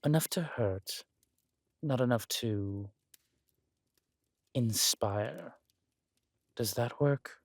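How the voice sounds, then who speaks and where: Villain husband , male actor: scheming noble usurper, slow aristocratic delivery, breathy asides, dry intimate roleplay sound. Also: medium pitch, animated, dry recording, heavily acted. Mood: calculating. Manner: suave, aristocratic, deliberate, with breathy near-whispered endearments. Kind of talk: intimate roleplay audio drama acting.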